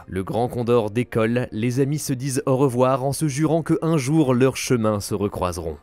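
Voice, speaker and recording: A man's voice: mid-range voice, dark tone. A man narrating a scripted video essay as a dramatic storyteller, slipping in informal asides. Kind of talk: scripted documentary-style storytelling.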